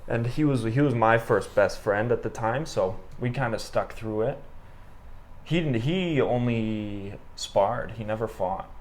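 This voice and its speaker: A young Canadian man in his twenties, talking in a relaxed, rambling way with many fillers as a guest on an interview podcast. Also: lowish voice. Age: 20s. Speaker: guest, amateur boxer. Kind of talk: casual interview conversation.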